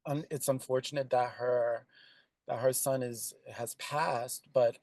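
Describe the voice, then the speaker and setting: A man answering questions as a screenwriter, speaking conversationally in a thoughtful, halting way. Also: medium pitch, steady tone, a little mellow, no room sound.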